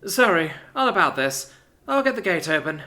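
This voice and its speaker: A man doing a bright, lively young woman. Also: high voice, normal speed, pitched above the performer's own voice, exaggerated voice. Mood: friendly. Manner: bright, raised, exclaiming. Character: playful young woman, daughter, quick to scold. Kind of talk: storytelling reading.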